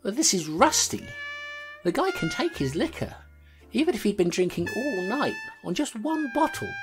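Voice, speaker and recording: A woman's voice: medium pitch, big pitch swings, moderate pace, over music, close to an everyday voice. A woman playing a determined constable who talks bluntly and reasons things out aloud.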